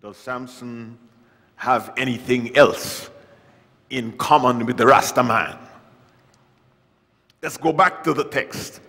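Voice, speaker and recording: An older man preaching a sermon at a church lectern, with wry humor, reading scripture loudly and dropping to quiet asides. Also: mid-range voice, fairly even intonation, slow, long pauses. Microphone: lectern mic, far camera. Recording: long church hall reverb.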